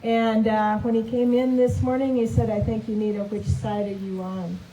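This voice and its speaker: Casting: rally emcee, woman, warm and mellow, chatty, echoey and far from the mic. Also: fairly high pitch, little pitch movement, moderate pace, slight background noise. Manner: friendly, chatty introductions. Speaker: rally emcee and former legislator. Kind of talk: introducing speakers at a rally.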